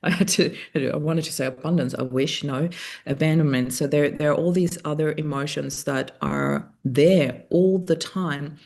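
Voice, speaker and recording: A woman's voice: low voice, moderate pace, a little mellow. A woman whose first language is German, hosting a podcast interview in a thoughtful, reflective way, sometimes searching for words. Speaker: podcast host.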